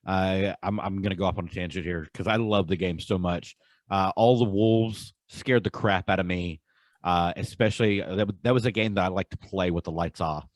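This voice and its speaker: A man's voice: on the low side, no room sound. A man co-hosting a podcast, chatting casually in an emphatic, gushing and informal way. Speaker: podcast co-host. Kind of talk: casual enthusiastic podcast chat.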